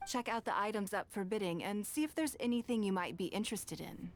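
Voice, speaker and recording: An actress playing a poised, wealthy businesswoman in a video game, speaking in a composed, gracious, gently teasing way. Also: mid-range voice, a little hiss or hum, lightly acted. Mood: inviting, composed. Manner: polished, composed, measured.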